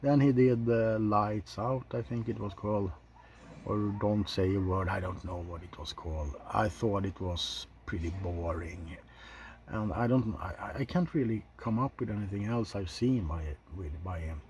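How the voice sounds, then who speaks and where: A man's voice: fairly low pitch, moderate pace, darkish tone, slight background noise. A man hosting an ASMR film ranking, chatting in an informal, rambling way with some mild swearing. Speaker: video host reviewing films.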